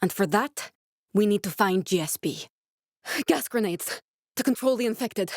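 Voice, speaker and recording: A woman voicing an earnest, guarded young female scientist survivor in a video game, speaking in a measured, serious way. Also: medium pitch, expressive, a little mellow, no echo, clean studio sound. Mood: serious, focused. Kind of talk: dramatic video game dialogue.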